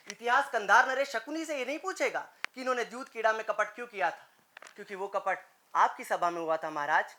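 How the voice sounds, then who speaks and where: A man's voice: high-pitched, bright, forceful tone. A man playing a solemn, persuasive royal peace envoy in a formal, theatrical mythological monologue, declaiming and pleading with emphatic repeated phrases. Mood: accusing, urgent.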